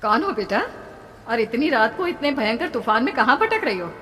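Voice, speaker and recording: A woman's voice: a little high, sing-song, normal speed, fairly bright tone, pitched above the performer's own voice. A woman plays a kindly aunt in a spooky story, full of worry. Her speech is emphatic and questioning, ringing in long reverb over faint noise. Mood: surprised, concerned. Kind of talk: dramatized horror storytelling.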